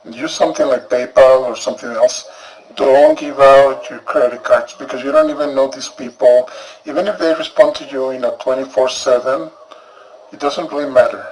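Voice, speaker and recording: A man speaks casually as a reviewer, repeating cautions to his viewers. His voice is middle in pitch and sits away from the microphone. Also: fairly even intonation, normal speed, darkish tone, far from the mic, low-quality recording. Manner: cautionary, repetitive. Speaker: software reviewer.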